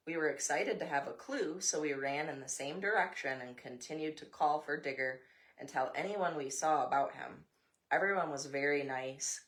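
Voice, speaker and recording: Low-pitched American woman reading a picture book. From United States. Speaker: storybook reader, mother. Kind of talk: picture-book read-aloud with informal asides to a child.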